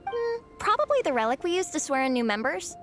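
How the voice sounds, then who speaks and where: Game casting: youthful supportive teammate, high expressive voice, earnest, music underneath. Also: female voice, brisk. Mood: thoughtful. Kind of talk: video game voice acting. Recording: music behind the voice.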